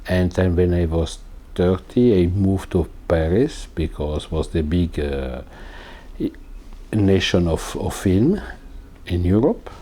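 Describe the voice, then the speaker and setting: Slow, deep-voiced Swiss man searching for words. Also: expressive, dark tone. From Italian-speaking Switzerland. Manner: halting, searching for English words, frequent uh fillers. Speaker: cinematographer. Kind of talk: recorded interview.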